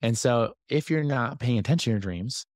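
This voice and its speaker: A man hosting a business podcast, talking casually to his community with a slightly hoarse voice and lots of fillers and asides. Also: mid-range voice, moderate pace, no echo, studio quality. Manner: energetic, chatty, many fillers and asides. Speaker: podcast host and business coach. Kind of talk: casual talk to a community call.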